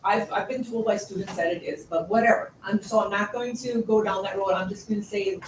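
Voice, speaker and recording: A woman's voice: medium pitch, fairly even intonation, fairly quick. Distant woman board member in echoey room. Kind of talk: informal discussion at a public meeting. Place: indoor meeting room. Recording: echoey room, distant mic, faint noise.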